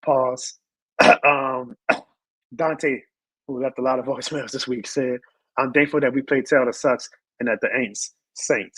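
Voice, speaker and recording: A hoarse man who has lost his voice, hosting a live sports show and ranting with emphatic repeated lines. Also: medium pitch, fairly even intonation, a little slow, a bit bright, no room sound, rough recording. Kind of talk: live sports rant and chat reading.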